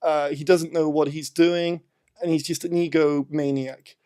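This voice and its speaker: A British man with a fairly high voice discusses film casually. He explains his points step by step, leaning on repeated words for emphasis. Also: little pitch movement, unhurried, dark tone, smooth. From Britain.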